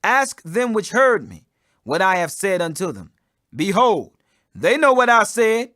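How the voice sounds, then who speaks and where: Guy doing a resolute bible teacher facing accusers, high bright voice, firm n declamatory. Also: very animated, a little slow, no room sound, clean studio sound, heavily acted. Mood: solemn, declaring.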